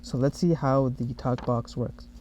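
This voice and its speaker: Man, mellow mid-pitched voice, developer walking through a software demo, slightly muffled lo-fi recording. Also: measured pace, slight background noise. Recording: dull, muffled lo-fi audio.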